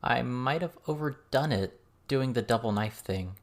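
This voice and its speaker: A man's voice: mid-range voice, animated, measured pace, voice pushed up. A man voicing a serious, blunt teenage girl with a firm, questioning manner in an audiobook. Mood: baffled.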